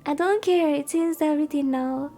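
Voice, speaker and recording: A woman's voice: high-pitched, normal speed, dark tone, soft background music. A woman VTuber livestreaming a visual novel, chatting casually, rambling and reacting aloud as she reads along. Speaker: VTuber streamer.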